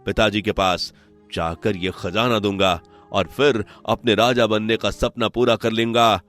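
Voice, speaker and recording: A man voicing a greedy, scheming young prince in an animated story, gloating and menacing. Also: lowish voice, measured pace, faint music behind. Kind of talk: animated moral story voice acting.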